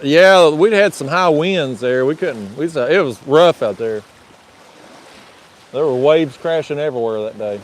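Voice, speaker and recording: A man's voice: on the high side, a little slow. A man hosting a casual livestream outdoors on a windy lake bank, laid-back and joking. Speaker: livestream host.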